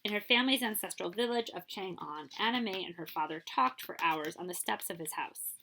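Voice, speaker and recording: A woman librarian reading a picture book aloud at a steady storytelling pace, now and then stopping for a short aside to her listeners. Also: medium pitch, brisk, slight background noise, rough recording.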